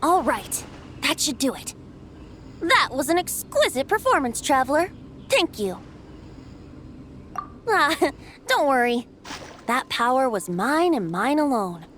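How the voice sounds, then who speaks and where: A woman voicing a young male wind god and bard for a video game, gentle and playful, with theatrical, poetic, lilting phrasing. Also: sits high, very animated, slow, long pauses, exaggerated voice. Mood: cheerful. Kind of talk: video game character acting. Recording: music behind the voice.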